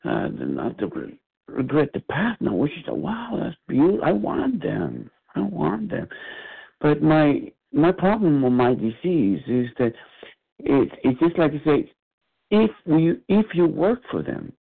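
A man from Cuba, speaking in halting bursts that swing up and down in pitch. His voice is gravelly and a little husky. The call comes through a thin, phone-like line. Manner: halting, animated, exclaims often. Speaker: meeting participant. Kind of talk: sharing at a phone support meeting.

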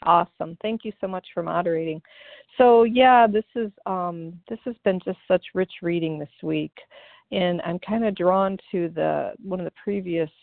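Low gravelly woman on a phone line. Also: no echo. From Ohio, US. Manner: earnest, grateful, halting with many fillers. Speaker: meeting member sharing. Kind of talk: personal recovery share. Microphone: phone call.

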